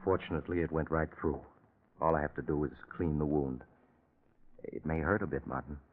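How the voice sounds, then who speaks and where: A reassuring doctor with a deep voice. He soothes and commands like a figure in 1940s radio drama. The recording is narrow and muffled with age. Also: fairly even intonation, fairly quick, a little hiss or hum. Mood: soothing. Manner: soothing, authoritative. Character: steady, reassuring doctor friend of a nervous man.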